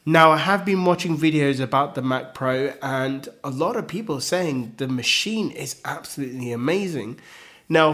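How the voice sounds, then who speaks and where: A man hosting a solo tech news podcast, chatty and upbeat with frequent ums, his voice slightly croaky from a cold. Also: a little high, fairly bright tone. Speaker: podcast host.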